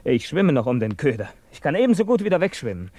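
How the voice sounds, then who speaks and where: Radio play lead: defiant young male scientist, tormented and philosophical, warm dark timbre, close dry studio sound. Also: on the high side, sing-song, fast talker, no echo. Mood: wary, restless. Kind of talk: German radio drama dialogue.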